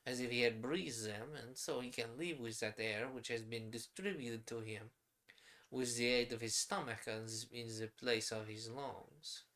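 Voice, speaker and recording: A man's voice: mid-range voice, steady tone, fairly bright tone, close to an everyday voice. A man putting on a pompous French professor. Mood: explaining. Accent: put-on French accent. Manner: slow, halting, formal broken phrasing. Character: pompous old male French chemist professor.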